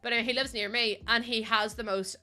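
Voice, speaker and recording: A woman's voice: fairly high pitch, fairly even intonation, fast talker, pushed and bright. A young woman with a Northern Irish accent chatting on a live stream, giggly and chatty, using local slang. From Northern Ireland. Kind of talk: casual chat with live chat. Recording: faint music behind.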